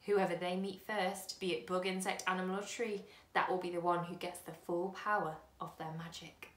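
A wizened, whiskered old man, put on by a woman reading aloud, speaks in a slow, creaky voice, dropping lower and into coaxing whispers. He sounds secretive and strange, a storybook stranger. The reading carries a roomy echo. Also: flat delivery, a bit bright, close to an everyday voice. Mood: urgent instructing. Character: small, bald, whiskered, sly old man.

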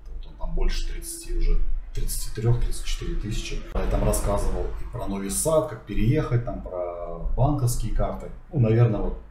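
A man's voice: on the low side, a little fast, warm tone. A man hosting a travel vlog, chatting casually and telling stories while cooking in a home kitchen. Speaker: vlog host. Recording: roomy kitchen from far off, faint hiss.

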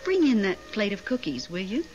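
A woman's voice: medium pitch, very animated, brisk, music in the background, lightly acted. A woman playing a genteel, chatty widow pining for her late husband in a 1970s radio drama. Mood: chatty, reminiscing. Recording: dull, faintly noisy 1970s radio.

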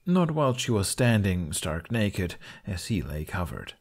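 A man's voice: low voice, very animated, measured pace, breathy edge. A man reading scripted fiction as an audiobook narrator.

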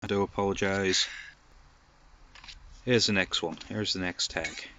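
A man's voice: low-pitched, steady tone, unhurried, background noise. A man with a head cold, chatting casually as he plays through a game, making joking asides. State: stuffy with a cold. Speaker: gaming channel host. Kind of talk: casual let's-play commentary.

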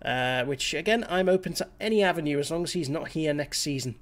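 A man's voice: fairly high pitch, lively intonation, a little fast. Young man with a cold, opinionated. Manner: opinionated, talks straight to camera with fillers. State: slightly scratchy voice from a cold. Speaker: reaction channel host. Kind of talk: casual reaction commentary. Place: small home room, indoors. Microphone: headset.

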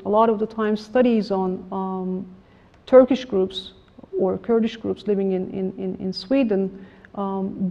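A middle-aged woman giving a formal academic lecture in a room with a projector, measured and halting with frequent restarts. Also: medium pitch, vocal fry. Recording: slightly dull lo-fi room recording.

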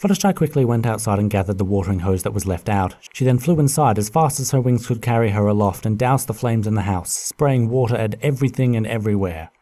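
A man narrating a scripted story in an expressive, dramatic way. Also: fairly low pitch, quick pace, few pauses.

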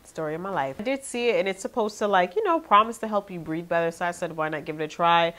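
A woman's voice: on the low side, fast. A young woman with a nasal, congested voice chatting to her viewers about her shopping haul in her living room. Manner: chatty, animated, talks to viewers directly. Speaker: vlogger. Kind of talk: casual haul video chat.

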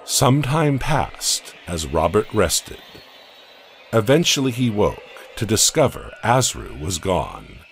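A man narrating a dark audio comic story in a scripted, dramatic way, often dropping to a hush at the ends of lines. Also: sits low, vocal fry, husky. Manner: slow, dramatic storytelling with hushed drops.

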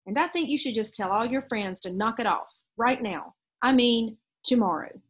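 A serious storybook father read by a woman lays down the rules, firm and admonishing. The voice is husky and fairly high for the part. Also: expressive, moderate pace. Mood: stern. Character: serious, rule-minded father.

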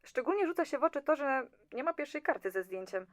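A woman's voice: high voice, expressive, fast talker, dry recording, studio quality. A woman playing a chatty, nonchalant and slightly condescending office clerk in an audio drama. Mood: matter-of-fact, evasive. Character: breezy, self-serving female office clerk in communist-era Poland.

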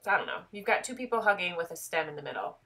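A woman's voice: fairly low pitch, lively intonation, racing, bright, forceful tone. A woman with a head cold explaining a craft project step by step in a chatty, self-deprecating tutorial voice.

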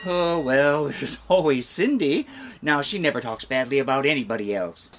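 A man's voice: mid-range voice, expressive, moderate pace, very noisy, close to an everyday voice. A middle-aged man playing a fond, fussy old puppet-maker father in a theatrical comic fairy tale. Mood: chatty. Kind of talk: theatrical comic double act.

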